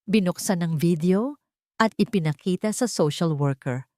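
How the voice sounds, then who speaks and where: A woman's voice: fairly low pitch, lively intonation, normal speed, warm tone, no room sound, clean studio sound. A woman reading a dramatic scripted story as its narrator.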